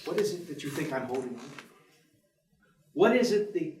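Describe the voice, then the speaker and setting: A man's voice: a little high, very animated, moderate pace, a little mellow, a little hiss or hum. An actor playing a bleak, world-weary male professor in a staged dramatic reading, formal, articulate and fatalistic. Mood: weary, polite. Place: theatre stage. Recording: echoey theatre, distant microphone.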